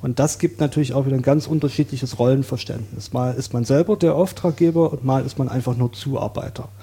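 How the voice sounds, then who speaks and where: A man from Saxony, a guest on an interview podcast, explaining his views in a thoughtful, measured way. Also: mid-range voice, fairly even intonation, dark tone, breathy edge. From Saxony, Germany.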